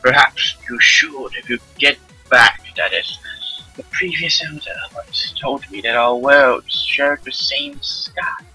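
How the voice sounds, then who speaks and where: A man voicing a gentle, musing old village elder in a visual novel read aloud. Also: mid-range voice, huge pitch swings, takes it slowly, slightly rough, faint music behind, exaggerated voice, rough recording. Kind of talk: visual novel character reading.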